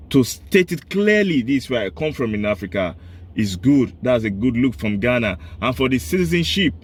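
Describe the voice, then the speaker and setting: Eager male talk show host. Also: medium pitch. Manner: eager, frequently affirms the guest. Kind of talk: conversational discussion.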